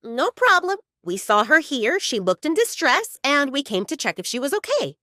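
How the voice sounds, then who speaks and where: A woman's voice: sits high, very animated, normal speed, pushed and bright, no room sound, clean studio sound, pitched above the performer's own voice, full character voice. A woman voicing a suspicious, over-friendly man in his early thirties in an audio drama. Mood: falsely reassuring.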